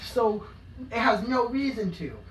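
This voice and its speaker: A woman's voice: a little high, expressive, a little slow, far from the mic, low-quality recording. A woman hosting a casual reaction commentary in a mocking tone, often stumbling and repeating words. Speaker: commentary host.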